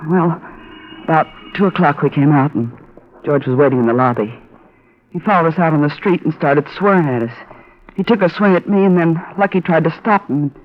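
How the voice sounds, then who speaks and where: An actress playing an evasive American woman mixed up in a crime in a 1950s radio drama, halting and insistent. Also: sits low, close to an everyday voice. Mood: wary, reluctant. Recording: dull, muffled old radio recording.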